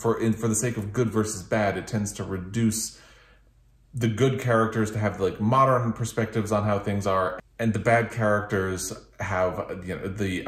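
A man in his thirties speaks in a fairly low voice with noticeable room echo. He hosts a casual book chat from a living room, talking to the camera in a rambling, thoughtful way and often rephrasing as he goes. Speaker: book reviewer, video host. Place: small living room with couch and bookshelves, indoors.

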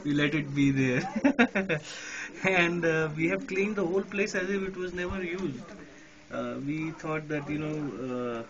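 A man's voice: a little high, unhurried, dull audio, a crowd in the background. Chatty Indian man on a ham radio. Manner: chatty, laughing often, mixing in Hindi. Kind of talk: casual radio chat. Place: outdoors on a balcony at night in the rain.

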